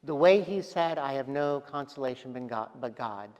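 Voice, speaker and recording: A man preaching a sermon as pastor, speaking gently and reflectively with pauses and soft trailing endings. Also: medium pitch, expressive. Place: large church sanctuary. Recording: long church reverb.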